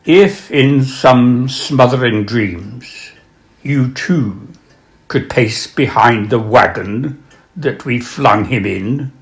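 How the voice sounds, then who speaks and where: A man presenting and reading literature aloud, with a measured, dramatic delivery when reciting. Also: medium pitch. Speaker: reader and presenter of poems and prose. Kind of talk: literary recital with spoken introductions.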